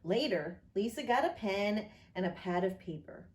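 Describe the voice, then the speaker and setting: A woman reading a picture book aloud as an expressive storyteller for children. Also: medium pitch, normal speed. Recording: echoey room.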